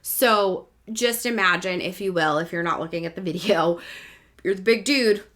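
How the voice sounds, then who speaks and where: A woman in her thirties telling a personal story as a podcast host, in a casual, candid, animated and self-mocking way. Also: lowish voice, normal speed.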